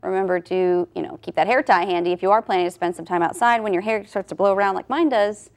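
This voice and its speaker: A woman's voice: medium pitch, fast. A woman in her thirties, a morning show meteorologist, friendly and conversational while giving the forecast. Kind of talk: weather forecast and casual chat.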